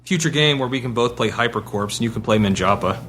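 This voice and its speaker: A man's voice: mid-range voice, quick pace, a bit bright, a little hiss or hum. A man chatting casually on a podcast as a panelist, with a wry, joking way of interjecting. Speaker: podcast panelist and game player. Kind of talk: casual chat.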